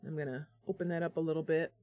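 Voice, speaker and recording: A woman's voice: deep voice, little pitch movement, rapid-fire, darkish tone, no echo, clean studio sound. A woman hosting a live stream, chatting casually with viewers in a bubbly, talkative way. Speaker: live stream host, gamer.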